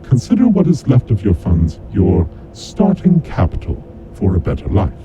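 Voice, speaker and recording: A man playing a coldly vengeful kidnapper mastermind in a horror audio drama, announcing deadly rules in a deliberate, formal, taunting way. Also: huge pitch swings. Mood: accusing, vengeful. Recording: dull lo-fi audio with clear rumbling noise.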